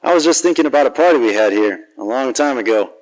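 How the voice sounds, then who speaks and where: An actor playing a cocky, wisecracking old-time private detective in a noir radio drama, wry and swaggering. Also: male voice, mid-range voice, expressive, quick pace, close to an everyday voice, low-quality recording. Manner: smooth-talking, wry, swaggering. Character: cocky, wisecracking 1940s hard-boiled male private detective.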